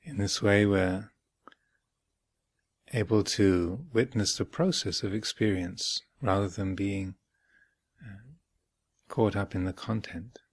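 A low-voiced man leads a guided meditation at a very slow pace. His voice has a gravelly creak, and he offers each short phrase in a measured way, leaving long pauses before the next. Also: steady tone, dry recording. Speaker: meditation teacher. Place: retreat center, close dry recording.

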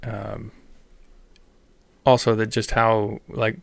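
A man's voice: on the low side, little pitch movement, slow pace, vocal fry, dry recording. A man hosting a podcast interview, chatty and enthusiastic, with rambling questions. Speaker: podcast host. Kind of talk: casual interview.

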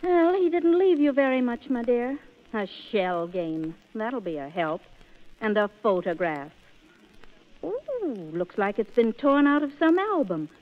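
A brisk, motherly woman in an old radio drama. Her voice is high and emphatic, pressing ahead with urgency. The broadcast sounds narrow and tinny. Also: lively intonation. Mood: puzzled, insistent. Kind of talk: 1930s radio drama acting.

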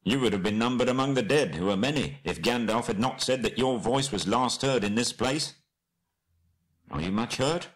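A man's voice: mid-range voice, normal speed, pitched above the performer's own voice, close to an everyday voice. A man voicing a sturdy, helpful man in a storybook, speaking earnestly and formally. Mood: earnest, concerned.

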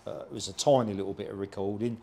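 A man in casual chat as a co-host and car body repairer, explaining things in a plain, halting way. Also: lowish voice, fairly even intonation, gravelly, a little mellow.

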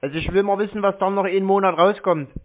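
A man's voice: sits high, steady tone, fast. Blunt man on a tinny phone line. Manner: blunt, laconic, colloquial. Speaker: scam-baiting call recipient. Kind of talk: slangy phone conversation.

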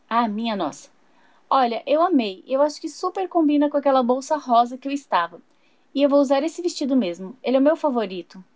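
A woman's voice: high-pitched, a little mellow, dry recording. A woman narrating a doll's day in a sweet, chatty way, talking to viewers as she plays. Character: chatty young woman doll, fashion-loving. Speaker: toy channel narrator voicing a doll. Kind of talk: doll play narration.

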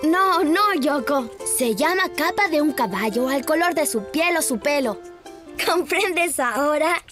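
A woman's voice: high voice, expressive, unhurried. A woman voicing a kind little rabbit boy in a cartoon dub, patiently explaining how to draw. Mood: gentle. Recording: cartoon music underneath.

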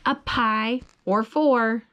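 A young mother reads a bedtime picture book to her small child in a bedroom, her voice a little high and soft. She reads slowly in a sing-song way, stopping often to ask gentle coaxing questions. Recorded up close on a phone held over her lap. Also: fairly even intonation, a little hiss or hum. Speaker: mother reading to her toddler. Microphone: phone held above the reader's lap.

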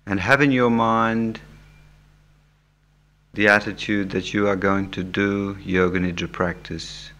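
Low-voiced man guiding a meditation. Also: steady tone, bright, forceful tone. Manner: measured, soothing, with long pauses between short phrases. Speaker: yoga nidra meditation instructor. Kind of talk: guided meditation instruction. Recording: background music under the voice. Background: music.

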